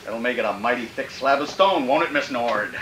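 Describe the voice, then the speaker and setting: Radio thriller villain, male actor: polite, deranged, repetitive employer with very animated pitch, heard in a reverberant room. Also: fairly quick, a little hiss or hum, natural voice. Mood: gloating, menacing. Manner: fussy, repetitive, muttering to himself. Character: sinister, gleefully deranged male killer posing as fussy polite employer. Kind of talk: old-time radio thriller acting.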